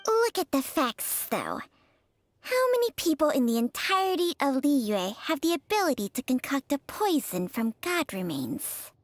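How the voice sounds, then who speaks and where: Haughty ancient snake spirit for a game: high voice, lively swings, sharp-tongued. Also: female voice, measured pace, dry recording. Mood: insistent. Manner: haughty, pointed. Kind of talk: video game voice acting.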